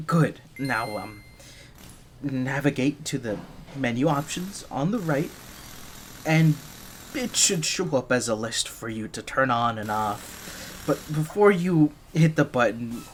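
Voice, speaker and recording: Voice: mid-range voice, lively intonation, slow pace, a little hiss or hum. A performer voicing a nervous, flustered young gadget engineer in an ASMR roleplay, stammering shyly and breaking off mid-phrase. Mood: flustered, awkward.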